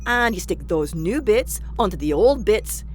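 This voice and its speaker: A woman's voice: mid-range voice, expressive, measured pace, soft background music, close to an everyday voice. A woman acting a brash, shameless Scottish spacefarer in a sci-fi comedy audio drama, blunt and teasing with colourful slang. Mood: brazen, teasing.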